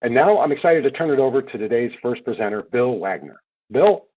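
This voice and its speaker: A male webinar moderator reads out introductions in a steady, formal way. His voice is a little husky and comes through a thin, phone-like line. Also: medium pitch. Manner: measured, formal reading. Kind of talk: read introductions.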